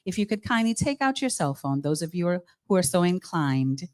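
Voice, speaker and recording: A woman's voice: on the low side, brisk. A woman from Guyana with a Guyanese accent, hosting a live charity evening as mistress of ceremonies and coaxing the crowd. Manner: lively, coaxing the audience.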